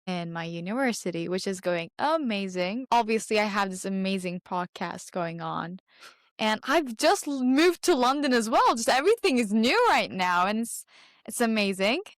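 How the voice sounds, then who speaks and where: A teenage woman from Turkey, a podcast producer chatting as a guest, bubbly, with quick laughs and trailing pauses. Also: medium pitch, very animated, no room sound, studio quality. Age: teens. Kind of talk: casual interview chat.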